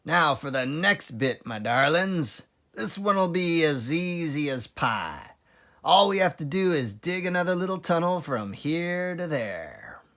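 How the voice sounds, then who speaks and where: A sly storybook father fox , acted out by a man, fond and sure of himself. He speaks in unhurried, coaxing phrases with theatrical swings of pitch. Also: big pitch swings, bright, forceful tone, full character voice. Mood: confident, brisk.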